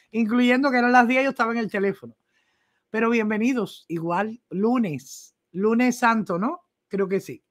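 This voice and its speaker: A middle-aged woman with a Cuban accent hosting a live news show from a small home studio, chatting casually and affectionately while greeting viewers by name. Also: mid-range voice. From Cuba. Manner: chatty, affectionate, reads viewer comments aloud. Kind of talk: casual live-stream chat. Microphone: desk microphone.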